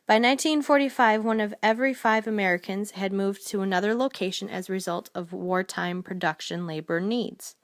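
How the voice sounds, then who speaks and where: A woman's voice: mid-range voice, dry recording, clean studio sound. A woman narrating a scripted documentary, reading aloud and now and then stumbling over a word.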